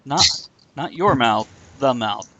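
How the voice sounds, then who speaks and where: A man co-hosts a relaxed live stream from home and trades sarcastic jokes with his co-hosts. His pitch sits in the middle, and his talk drifts loosely from one aside to the next. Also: slow pace, muffled recording, heavy background noise. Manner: joking, sarcastic, rambling. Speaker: podcast co-host. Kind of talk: casual chat.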